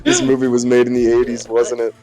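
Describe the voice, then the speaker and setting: A man's voice: mid-range voice, completely flat delivery, a little fast, dark tone, faint music behind. A young man chatting casually and jokingly with a friend as a let's play host, sweary and sardonic.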